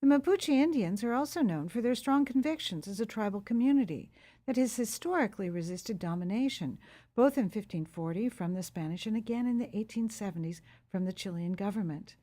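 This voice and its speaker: Mellow woman reading museum narration. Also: medium pitch, a little fast. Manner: smooth, even reading.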